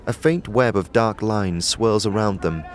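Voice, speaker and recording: A man narrating a fantasy audio drama from a script, in a measured, dramatic storytelling manner. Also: on the low side. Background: music.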